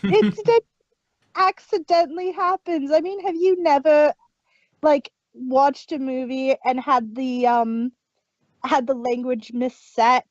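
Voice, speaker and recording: Slow, chatty woman on a dull dry mic. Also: high-pitched, fairly even intonation, no echo. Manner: hesitant, restarts often, chatty. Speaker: podcast co-host. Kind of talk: casual review chat.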